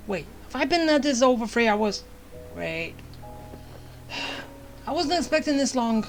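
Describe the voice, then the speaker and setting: A woman streaming a game, with a high voice and music behind her. Her commentary is casual and offhand, full of dry, sarcastic asides. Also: very animated, slow pace.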